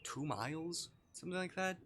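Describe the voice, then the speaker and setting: A man's voice: on the high side, expressive, normal speed, fairly bright tone, a little hiss or hum. A man livestreaming a visual novel, reading the text aloud in a casual style with playful, muttered asides. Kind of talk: casual gaming stream, reading game text aloud with offhand commentary.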